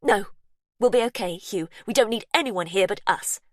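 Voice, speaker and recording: A woman's voice: mid-range voice, moderate pace, no room sound, pitched above the performer's own voice, lightly acted. Woman acting out a panicky mum, pleading, emotional, dry recording. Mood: stubborn. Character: frantic, desperate young mother.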